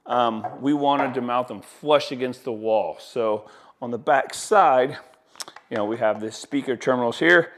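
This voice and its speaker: A man with a mid-range voice walks viewers through a product in a casual demonstration. He talks like an installer who is keen on his gear, with enthusiastic, folksy patter and colloquial turns of phrase. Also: medium pitch. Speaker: audio installer presenting products.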